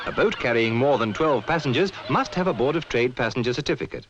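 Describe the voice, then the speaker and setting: A man's voice: medium pitch, animated, fast, fairly bright tone. A British man narrating a 1970s public information film, telling a story in an instructive way. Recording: muffled, noisy 1970s film soundtrack.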